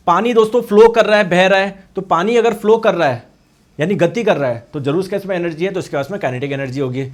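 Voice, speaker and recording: Hoarse guy teaching science online, kinda high voice, asks stuff then answers it himself. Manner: lively lecturing, repeats each term in Hindi and English; asks and answers his own questions. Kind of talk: online exam-prep class.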